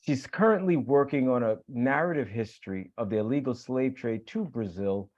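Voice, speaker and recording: A man's voice: medium pitch, normal speed, a little mellow, highs cut off. A man hosting an academic talk and reading out a guest's credentials in a formal introduction. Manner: listing credentials in a formal cadence. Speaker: host.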